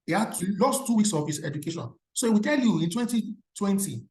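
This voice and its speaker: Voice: medium pitch, expressive, fast talker, darkish tone. Emphatic Nigerian speaker in a roomy space. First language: Yoruba. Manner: emphatic, asks the audience rhetorical questions. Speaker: career speaker at an alumni event. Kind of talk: motivational talk. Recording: roomy echo.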